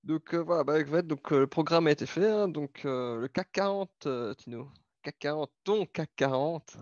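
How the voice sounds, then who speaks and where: A man's voice: a little high, normal speed, a little mellow, dry recording. A man with a light Belgian French accent co-hosting a casual, informal stock talk with some hesitation. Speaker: podcast co-host discussing stocks. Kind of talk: casual chat. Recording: dull, band-limited audio.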